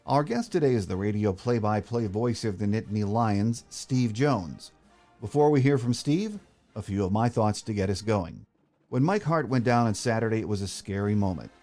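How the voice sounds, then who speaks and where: A man's voice: lowish voice, expressive, normal speed, warm tone, soft background music. A man hosting a sports podcast, giving his commentary and interviewing a guest. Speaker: podcast host.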